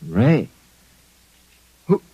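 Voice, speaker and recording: An actor playing a drugged private detective, desperate and halting, in an old-time radio drama with a noisy recording. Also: male voice, dry recording, highs cut off. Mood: groggy, bewildered. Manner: pleading, gasping, halting.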